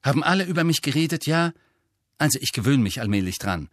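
A man's voice: a little high, sing-song, quick pace, dry recording, studio quality, voice pushed up. A male narrator playing a moody, irritable teenage boy with a terse, defensive manner in an audiobook. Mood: irritated, defensive.

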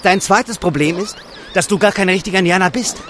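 A man's voice: high-pitched, a little fast, fairly bright tone, a little hiss or hum. A man playing a guarded, proud and irritated character in an audio drama, curt and dryly cutting. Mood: angry. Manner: curt, sardonic.

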